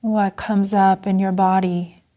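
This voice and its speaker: Very slow, soft-toned woman guiding meditation. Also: medium pitch, flat delivery. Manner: gentle, unhurried, with long pauses. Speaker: Zen Buddhist teacher guiding a meditation. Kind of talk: guided meditation.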